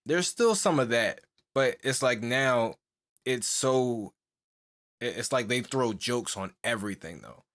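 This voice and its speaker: A man's voice: medium pitch, expressive, measured pace, a bit bright. Rambling young man on a podcast. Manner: rambling, full of "like" and restarts, laughs often. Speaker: podcast co-host. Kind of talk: casual chat.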